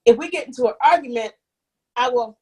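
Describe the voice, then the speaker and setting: A young adult woman telling her own story as an interview guest, candid and animated, often restarting sentences and acting out what others said. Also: high voice, brisk, slightly rough. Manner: animated, restarts often, acts out quoted voices.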